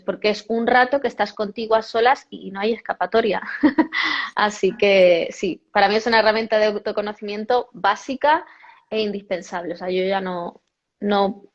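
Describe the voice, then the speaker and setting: Chatty woman on a lo-fi call. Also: mid-range voice. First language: Spanish. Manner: chatty, self-correcting, laughs often. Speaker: yoga cartoonist and guest. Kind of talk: casual conversational interview. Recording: dull lo-fi audio.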